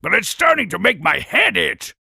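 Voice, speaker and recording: Gravelly, brash warrior creature. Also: male voice, sits high, huge pitch swings, moderate pace, bright, forceful tone, no echo, studio quality, heavily acted. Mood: gruff, dismissive. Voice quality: gravelly, rough. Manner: blustering, brash. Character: tough, boastful warrior creature, grudgingly admiring. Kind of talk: scripted video game character speech.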